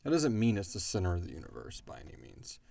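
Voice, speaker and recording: A man's voice: on the low side, wildly swooping pitch, fast talker, gravelly, mellow, no echo. A man hosting a casual question-and-answer chat, speaking informally and thinking aloud as he goes. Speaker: podcast host and audio drama creator.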